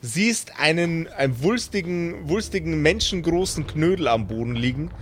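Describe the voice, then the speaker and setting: A man's voice: a little high, lively intonation, moderate pace, a little hiss or hum. A man acting as game master, narrating an improvised tabletop game and bantering casually.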